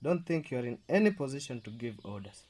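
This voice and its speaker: A man's voice: mid-range voice, big pitch swings, fairly quick, a little mellow. A man playing a domineering, defensive husband in a radio drama, scolding and commanding. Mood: furious, threatening.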